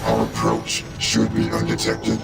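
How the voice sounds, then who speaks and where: A cool, analytical robot officer for a video game. The voice is lowish and croaking with a strong echo, reporting in formal, matter-of-fact phrases over loud music. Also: male voice, completely flat delivery, measured pace. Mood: detached. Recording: echoing voice under loud music.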